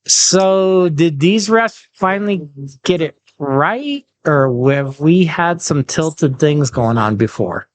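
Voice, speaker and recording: A man hosting a casual sports podcast, chatty, with quick asides and leading questions. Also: a little high, animated, no echo. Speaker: podcast host. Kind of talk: casual sports chat and interviews.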